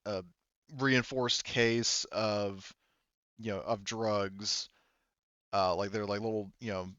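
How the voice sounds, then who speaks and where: A man narrating a tabletop roleplaying game as game master, improvising haltingly and thinking aloud, on slightly dull audio. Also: on the low side, steady tone, a bit bright, dry recording.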